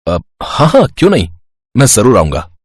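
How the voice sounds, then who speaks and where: A man playing a polite, well-mannered rich young man in a cartoon story, friendly and a little hesitant, with a husky voice. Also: mid-range voice, wildly swooping pitch, moderate pace, dry recording. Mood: bashful. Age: young adult.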